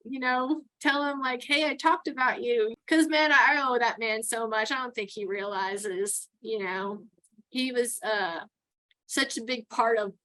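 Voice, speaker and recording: A woman's voice: fairly high pitch, pushed and bright, low-quality recording. A middle-aged woman from New York with a Texan accent, chatting casually as an interview guest and laughing often. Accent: Texan with some New York. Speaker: guest, towboat port captain.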